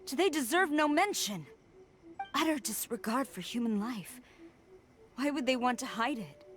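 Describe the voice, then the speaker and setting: A woman's voice: fairly high pitch, lively intonation, normal speed, lightly acted. A woman voicing a stern, proud young female general in an anime game, speaking in a formal, earnest and clipped manner. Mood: troubled disbelief. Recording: music behind the voice.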